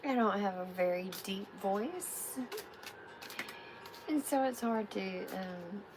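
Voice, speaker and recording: A woman hosting a live tarot reading, giving spiritual guidance in a soft, soothing way. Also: mid-range voice, noisy background. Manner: soft, unhurried, soothing affirmations.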